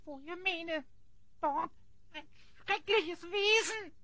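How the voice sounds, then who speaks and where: An actor voicing a small, timid, easily offended elf goblin in a fantasy audio drama, squeaky and theatrical. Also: extremely slow, bright, forceful tone, no room sound, heavily acted. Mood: frightened. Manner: whiny, indignant, theatrical.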